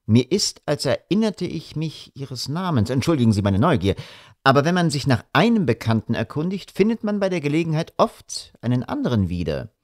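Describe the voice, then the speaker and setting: A man reading a shrewd, measured detective. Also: on the high side, very animated, no echo, clean studio sound. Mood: calm. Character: calm shrewd male detective, probing.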